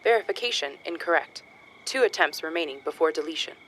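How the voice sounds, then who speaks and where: A woman's voice: deep voice, animated, fast talker, fairly bright tone, slight background noise, poor audio. A woman voicing an impassive automated message system in an audio drama, with clipped, procedural delivery.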